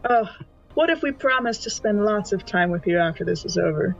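A woman's voice: mid-range voice, animated, brisk, gravelly. A slightly muffled, lo-fi recording of a woman with music behind her. She is a player in a tabletop game, talking in a casual, playful way. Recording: dull lo-fi sound, music behind.

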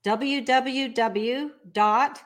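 A woman speaks as a founder being interviewed. Her voice is fairly high, and her delivery is conversational. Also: very slow. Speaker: interview guest, company founder.

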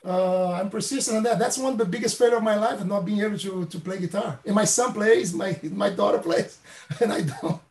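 A Brazilian-born professor in his late fifties speaks in a high, gravelly voice. His phrasing is halting and non-native, full of restarts. The room around him is echoey. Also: darkish tone. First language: Portuguese. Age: 50s. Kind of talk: reflective interview answers.

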